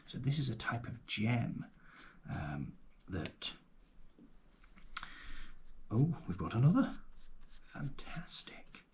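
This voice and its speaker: A man speaking softly and gently in an ASMR roleplay as a guide, often slipping into a breathy whisper, murmuring without hurry. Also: mid-range voice, very animated, takes it slowly, long pauses, a little hiss or hum. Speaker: host playing a paleontologist guide. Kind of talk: ASMR roleplay, soft narration of a fossil dig.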